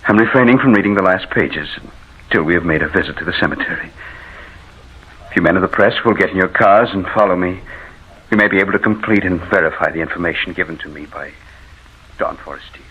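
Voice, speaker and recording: Bereaved doctor: commanding, deliberate, raspy low voice for vintage radio drama. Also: male voice. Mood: solemn. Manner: solemn, formal, deliberate. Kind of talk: 1950s radio drama acting. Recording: muffled vintage radio recording.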